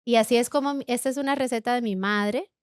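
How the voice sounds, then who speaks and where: Middle-aged woman, interview guest; clear resonant voice, dry studio sound, unhurried hesitant storytelling. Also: a little high, dry recording. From Bolivia. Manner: warm storytelling with frequent "eh" hesitations. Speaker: guest, business co-founder. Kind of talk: casual interview chat.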